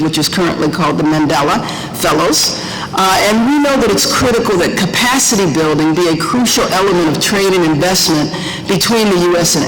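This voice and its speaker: A woman's voice: medium pitch, slight background noise. A woman hosting a policy forum, reading out panelist introductions with frequent hesitation fillers. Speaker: forum host and moderator. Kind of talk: formal panel moderation. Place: conference room. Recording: echoey conference room.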